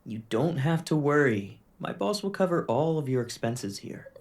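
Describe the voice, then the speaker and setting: A man's voice: on the high side, sing-song, moderate pace, darkish tone. A young man playing a reassuring, polite agent in his mid-twenties in an audio drama. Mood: calm, reassuring.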